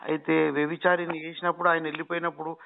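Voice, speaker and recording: A man with a Telangana Telugu accent questioning on a phone call in a halting, repetitive, insistent way. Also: on the high side, flat delivery, gravelly, clear, resonant tone. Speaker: phone caller. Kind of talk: questioning in casual phone conversation. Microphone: phone line.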